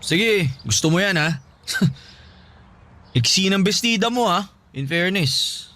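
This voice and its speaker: A man's voice: sits high, lively intonation, takes it slowly, bright, forceful tone, exaggerated voice. An actor playing a teasing, bewildered young male best friend in a radio drama, mocking and incredulous. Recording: clear background ambience noise.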